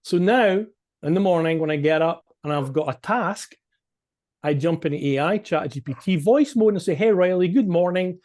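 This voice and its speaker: A man's voice: on the high side, animated, moderate pace, a little mellow. A man with a Scottish accent giving an informal, explanatory business presentation as a coach, conversational and emphatic, often checking in with listeners.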